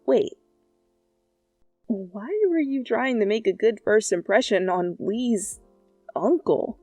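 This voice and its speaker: A woman narrating a second-person fan fiction story in a playful, conversational way, with animated asides. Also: on the high side, no room sound. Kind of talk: expressive second-person story narration, ASMR fanfic reading.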